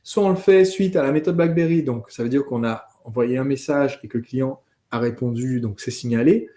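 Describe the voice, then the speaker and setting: A man with a soft-edged, mellow voice at a medium pitch hosts a training course. He explains conversationally, working out his thoughts aloud and putting questions to a colleague. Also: a little fast. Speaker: host of a business training course. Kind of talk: conversational teaching, interviewing a colleague.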